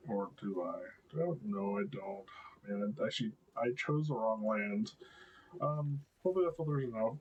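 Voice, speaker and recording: Deep-voiced man thinking aloud. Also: slight background noise, rough recording. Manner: thinks aloud, hesitant, many ums and restarts. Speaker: gameplay video creator. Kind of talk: casual live gameplay commentary.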